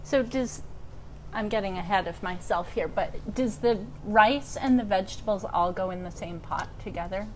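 A woman's voice: medium pitch, normal speed, rough recording. A woman casually asking questions as co-host of a cooking demonstration in a kitchen. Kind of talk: casual conversation during cooking demo. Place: large indoor teaching kitchen. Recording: clear background kitchen noise.

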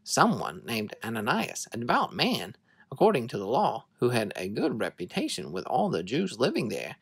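Expressive narrator reading a bible story to kids, husky voice, dry close sound, measured storytelling. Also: mid-range voice, no echo. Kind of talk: dramatic scripture reading for children.

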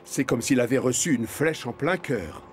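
A man's voice: medium pitch, expressive, normal speed, a little hiss or hum. A man narrating a scripted children's cartoon story.

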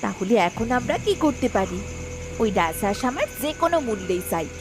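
A woman's voice: mid-range voice, sing-song, a little fast, lightly acted. A woman voicing a greedy village wife in a cartoon, insistent and demanding. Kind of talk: cartoon dialogue acting. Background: music.

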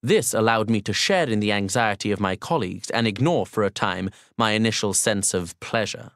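A man playing a courteous young Pakistani man who confesses his story in formal, measured audiobook narration with deliberate pauses. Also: on the low side, fairly bright tone, no room sound, clean studio sound. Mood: reflective, confessional. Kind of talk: first-person audiobook narration as dramatic monologue.

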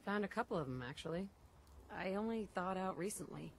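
A grieving young mother in a video game, her voice on the low side. She is a shaken wasteland survivor, with faint noise behind her. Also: lively intonation, brisk. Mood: bitter, resolute. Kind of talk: video game dialogue acting.

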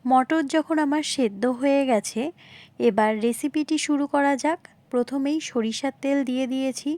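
A woman with a high voice gives a recipe voice-over. She explains each point politely, often restating it, and speaks straight to her viewers. The sound is close and dry. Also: moderate pace, a little mellow, no echo. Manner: polite, repetitive explaining, addressing viewers directly. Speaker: home cooking video host. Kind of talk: recipe narration, instructional voice-over.

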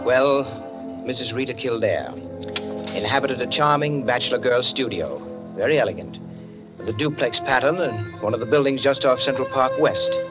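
An actor playing an urbane storyteller, narrating 1940s radio drama in a measured, literate, deliberate manner. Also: male voice, mid-range voice, natural voice. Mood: composed, wry. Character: urbane storyteller of a society mystery. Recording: muffled old radio recording with music.

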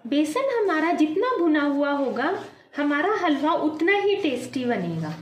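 Woman, cooking host, lively up-and-down voice, roomy echo, faint background hiss. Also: high voice, unhurried. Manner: addresses viewers as friends, calm explaining. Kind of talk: step-by-step cooking instructions. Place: home kitchen at the stove.

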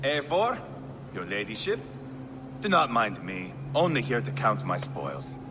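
An actor playing a brash Viking warlord who talks with sardonic, mocking humor in a game cutscene. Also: male voice, fairly low pitch, very animated, measured pace, background noise. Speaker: game character.

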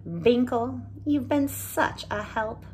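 A woman's voice: on the high side, lively intonation, takes it slowly, darkish tone, slight background noise. A woman doing a hopeful hat-maker. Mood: earnest.

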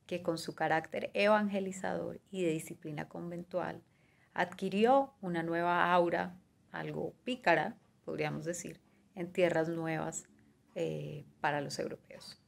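A woman in her thirties speaks in a lowish voice with a gravelly fry, giving a measured, partly read talk. She works through long lists item by item and fills her pauses with short hesitations. She is heard over a webcam from a small room. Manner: measured, listing items, with fillers like "eh" and "digamos". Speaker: guest speaker on pastry and cuisine. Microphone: video call webcam.

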